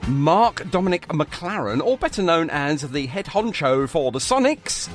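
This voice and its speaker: A man's voice: on the high side, very animated, unhurried. A British man hosting a radio show in an informal, chatty, jokey way with self-deprecating asides. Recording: music behind the voice. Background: music.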